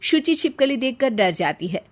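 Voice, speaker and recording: Higher-pitched woman narrating a story. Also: very animated, normal speed. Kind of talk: scripted Hindi cartoon story narration.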